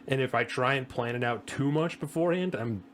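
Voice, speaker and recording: A man's voice: medium pitch, a little fast, fairly bright tone, slight background noise. A man hosting a podcast, chatting and asking questions in an informal, sweary way. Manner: informal, profane. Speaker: podcast host. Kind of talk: casual chat and interviewing.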